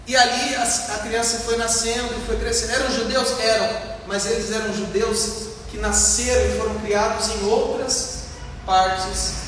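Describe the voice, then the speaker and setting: A man's voice: very high-pitched, a bit bright. A Brazilian man preaching as a pastor, explaining a Bible passage in a didactic, emphatic way with rhetorical questions and repeated points. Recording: echoey hall, distant mic, faint noise.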